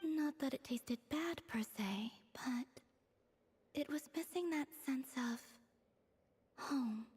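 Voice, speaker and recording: A woman voicing a gentle, wistful young moon goddess in an anime game, soft and breathy, with hesitant, formal phrasing. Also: sits high, slow pace, long pauses, exaggerated voice. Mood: serene, reflective.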